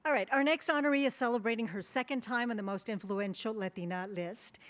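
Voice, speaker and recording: A woman's voice: on the high side, brisk. A woman presenting at an awards breakfast, reading honoree introductions in an upbeat way with small asides. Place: event hall at a breakfast banquet.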